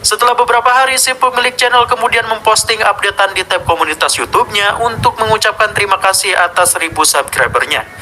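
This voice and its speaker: A man's voice: sits high, fast talker, few pauses, bright, forceful tone, poor audio. A man with an Indonesian accent hosting a scripted horror explainer, addressing viewers informally as he narrates. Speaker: video narrator and host.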